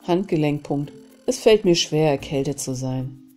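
A woman with a cold hosting a self-help channel, calmly guiding a tapping session and repeating short phrases between named tapping points. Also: sits low, moderate pace. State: has a cold. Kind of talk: guided EFT tapping session.

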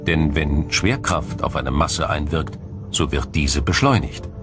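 A man narrating a scripted documentary in a measured, explanatory storytelling manner. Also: sits low. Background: music.

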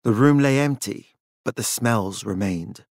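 A man's voice: fairly low pitch, measured pace, no room sound, studio quality. A man narrating a dramatic work of fiction as an audiobook.

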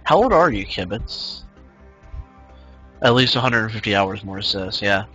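A man in his twenties chats loosely through a game stream and stops now and then to greet viewers from the chat. His slightly low voice has a bright, forceful edge, and soft game music plays behind him. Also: expressive, normal speed, breathy edge, dull audio. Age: 20s. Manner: rambling, reads chat aloud, many fillers. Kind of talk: casual live-stream chat while gaming.

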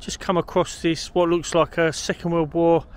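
Higher-voiced middle-aged man outdoors. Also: flat delivery, normal speed, smooth. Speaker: walking vlogger. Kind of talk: casual walk-and-talk to camera. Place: outdoors on canal paths and country trails. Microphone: handheld camera at arm's length.

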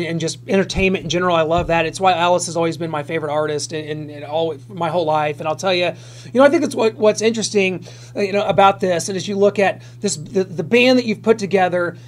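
A man interviewing a musician, asking long, winding and enthusiastic questions. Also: high voice. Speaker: radio interviewer.

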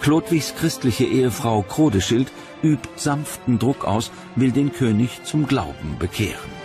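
A man's voice: mid-range voice, animated, a little slow. A man reading scripted narration for a history documentary. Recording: music bed behind narration.

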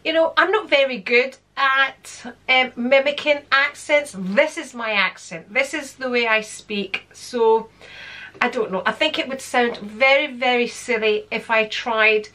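Bright-voiced woman with a Scottish accent. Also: fairly high pitch, a little slow. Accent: Scottish with some London Greek. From London, England. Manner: chatty, rambling, expressive hand gestures. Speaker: channel host. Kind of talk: casual to-camera vlog chat. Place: home room with shelves of handbags.

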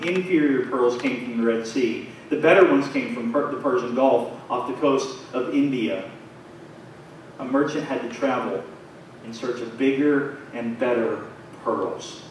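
A man in his thirties preaching a sermon in a small church, his phrases rising and falling in a measured way. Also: mid-range voice. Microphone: far camera, pulpit mic. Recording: very echoey church from far off, faint noise.

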